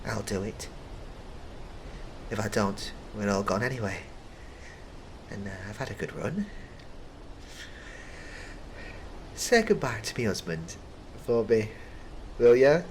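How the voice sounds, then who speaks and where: A man's voice: on the low side, lively intonation, measured pace, long pauses, noisy background. A man voicing a kindly, colloquial older supermarket worker with grandchildren in a comic audio roleplay. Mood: resigned, plaintive.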